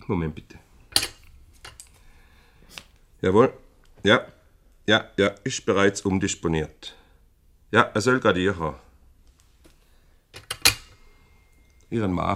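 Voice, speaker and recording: An actor with a Swiss German accent playing a formal, slightly pompous, placating company director in a radio comedy. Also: male voice, low voice, moderate pace, background noise. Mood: businesslike, placating. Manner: formal, pompous, hesitant when mediating. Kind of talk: 1970s radio comedy acting.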